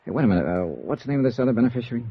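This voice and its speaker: An American actor playing a wry, brash, hard-boiled insurance investigator in a 1950s radio noir drama. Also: male voice, medium pitch, expressive, rapid-fire. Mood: brisk, probing. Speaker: lead actor of a radio detective series. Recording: muffled vintage radio recording with music.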